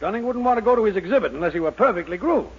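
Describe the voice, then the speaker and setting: A man playing an exasperated, sarcastic middle-aged boss with drawn-out emphasis in a 1940s radio sitcom. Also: sits high, lively intonation, breathy edge. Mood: grudging, bossy. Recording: dull old radio recording.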